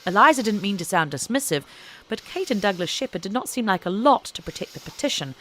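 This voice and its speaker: A woman narrates fiction with a highly animated, swooping delivery. Her voice sits a little low for a woman, and she tells the story deliberately and expressively. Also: a little hiss or hum. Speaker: audiobook narrator. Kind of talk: scripted dramatic fiction narration.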